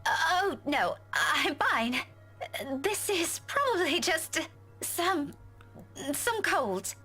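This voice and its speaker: A woman's voice: very high voice, sing-song, very slow, sharp tone, full character voice. An actress playing a polite, anxious woman in a visual novel game. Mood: flustered. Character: polite, anxious woman looking for her friend. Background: music.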